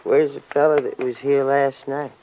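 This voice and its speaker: A man's voice: mid-range voice, little pitch movement, moderate pace, close to an everyday voice. Male actor as cagey hoodlum, old-time radio drama: colloquial, pushy, lo-fi sound with faint hiss. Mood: cagey, casual. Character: cagey, slangy male crook on the run, scheming. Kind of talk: 1940s-50s radio drama acting. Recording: muffled, noisy old radio recording.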